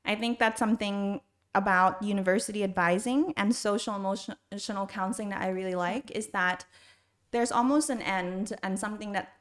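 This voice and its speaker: A woman's voice: medium pitch, brisk, fairly bright tone. A middle-aged woman with an American accent, answering interview questions conversationally as a podcast guest. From Thailand, then the United States from age six. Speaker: podcast guest, school university counselor.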